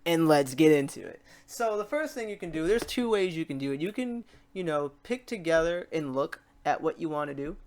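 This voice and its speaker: A tutorial host talking the viewer through software steps in a casual, animated, slangy way, acting out a learner's questions and exclamations. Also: medium pitch, brisk, hardly any pauses.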